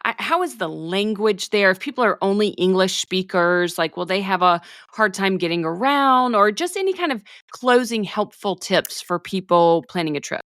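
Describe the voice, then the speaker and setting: Woman podcast co-host, middle pitch, dry sound, talkative and friendly. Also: expressive, normal speed, hardly any pauses, a bit bright, no echo. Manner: chatty, shares her own stories. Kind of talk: casual interview chat.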